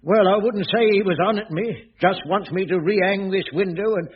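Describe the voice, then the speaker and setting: A man's voice: high voice, moderate pace, close to an everyday voice. A man playing a put-upon caretaker in a radio sitcom, grudgingly deferential, grumbling and prone to stammering. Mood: grudging deference. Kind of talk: 1980s radio sitcom acting. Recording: dull radio recording, studio audience, faint noise.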